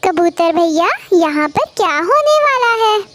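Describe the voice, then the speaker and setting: A woman's voice: very high-pitched, big pitch swings, a little slow, a bit bright, heavily acted. A woman voicing a curious, caring little female bird in a cartoon. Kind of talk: Hindi cartoon character acting.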